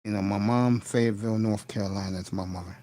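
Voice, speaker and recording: A man hosting a casual conversation, speaking earnestly and encouragingly with many pauses and fillers. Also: fairly low pitch, steady tone. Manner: warm, encouraging, hesitant fillers. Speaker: host.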